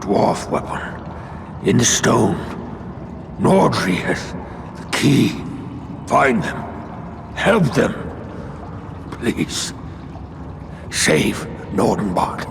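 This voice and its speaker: Dying dwarf warrior, gravelly and halting. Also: male voice, mid-range voice, sing-song, background noise, heavily acted. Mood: dying, urgent. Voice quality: gravelly, strained, breathy. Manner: halting, broken phrases. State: dying, struggling for breath. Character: mortally wounded dwarf warrior, gasping his last pleas.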